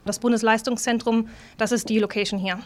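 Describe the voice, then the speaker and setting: A woman in her late twenties talks in a fairly high voice. She is chatty and relaxed, poking a little fun at herself as she describes her hobby. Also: a little fast. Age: 20s. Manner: chatty, self-deprecating, easygoing. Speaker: table football player. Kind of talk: interview statements.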